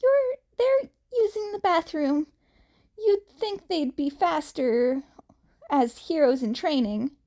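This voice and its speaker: A very high, swooping voice of an eager teenage boy in an audiobook story, acted by a woman. He is chatty and friendly, rambling with nervous hesitation and a slow delivery. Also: lively intonation, mellow, exaggerated voice. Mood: awkward, chatty. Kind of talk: fan fiction audiobook character voice.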